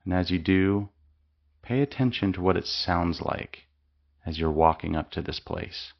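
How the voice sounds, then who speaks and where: Deep gravelly guy leading a calm slow meditation, dull lo-fi sound. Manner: slow, calm, soothing instructions with long pauses. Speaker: meditation guide. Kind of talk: guided meditation.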